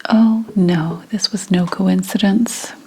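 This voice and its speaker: A woman playing a secretive, indignant 17th-century witch in a breathy whispered ASMR roleplay, speaking deliberately with dramatic pauses. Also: medium pitch, fairly even intonation, dark tone, low-quality recording.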